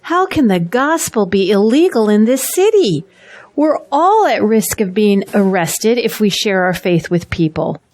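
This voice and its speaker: An actress playing an anxious, fretful pastor's wife in an audio drama, worried and emotional. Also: female voice, mid-range voice, sing-song, measured pace, a little mellow. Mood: troubled.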